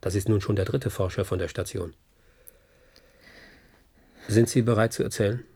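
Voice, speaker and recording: An actor playing a sober, matter-of-fact investigator in a German radio play, husky and measured in his questioning. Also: lowish voice, fairly even intonation, dark tone. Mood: sober, inquiring.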